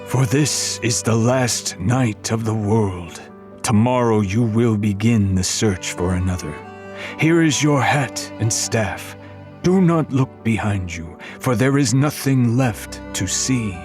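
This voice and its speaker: A man voicing a grave, solemn high priest in an audiobook, with a ceremonial, weighty delivery. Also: expressive, slow, music in the background. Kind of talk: audiobook character voice.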